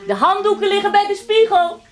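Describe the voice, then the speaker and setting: A self-possessed woman landowner in a radio play, heard in an echoey room. She speaks with lively pitch, cool and curt. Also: fairly quick. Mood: playful. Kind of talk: Dutch radio drama acting.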